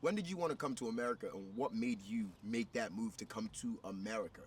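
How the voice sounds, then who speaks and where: A man from Ghana hosting a casual interview for his vlog, excitable and profane, often cutting in. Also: on the low side, fast talker. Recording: distant mic with background noise.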